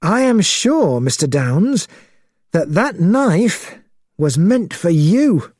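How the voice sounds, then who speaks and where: A man's voice: sits high, very animated, takes it slowly, darkish tone, no room sound, studio quality, voice pushed up, exaggerated voice. A man voicing a polite, worried police colonel, earnest and courteous, in a storybook reading.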